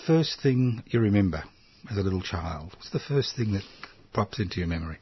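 A man's voice: lowish voice, fairly quick, a little hiss or hum. An older man hosting a casual radio chat, joking and asking questions. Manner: chatty, jokey interviewer with lively rises. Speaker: radio host. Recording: dull lo-fi sound.

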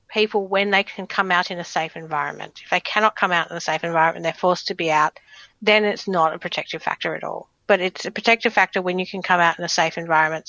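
Woman, bright tone, dry close sound, earnest advocate. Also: lowish voice, no echo, muffled recording. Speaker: guest, advocacy group president. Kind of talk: radio interview.

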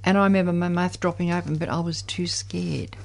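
A woman's voice: on the low side, steady tone, a little fast, creaky, slight background noise. A woman of seventy sharing her personal story in conversation, reflective and candid. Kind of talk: personal recollection in group conversation.

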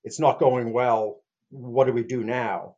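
A man's voice: mid-range voice, steady tone, unhurried, darkish tone, dull audio. An older man, an author and activist, answering interview questions hesitantly with fillers.

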